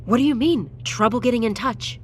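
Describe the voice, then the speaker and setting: An actress playing a blunt, anxious doctor in a crisis audio drama, insistent and exasperated. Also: female voice, on the high side, brisk, a little hiss or hum, close to an everyday voice. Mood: impatient, incredulous.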